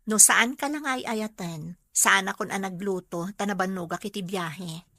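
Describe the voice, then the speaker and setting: A watchful wife, dry close sound. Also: female voice, mid-range voice, moderate pace, pushed and bright, no room sound. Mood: suspicious, controlled. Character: watchful, questioning wife and mother. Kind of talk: Ilocano radio drama acting.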